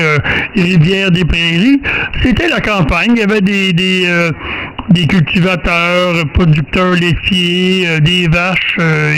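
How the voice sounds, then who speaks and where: An older man from Quebec speaks with a Québécois French accent in a notably high voice. He chats casually as a ham radio operator, halting and rambling between drawn-out pauses. Faint radio noise runs under his words. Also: a bit bright, poor audio. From Quebec, Canada. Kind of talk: casual radio contact chat.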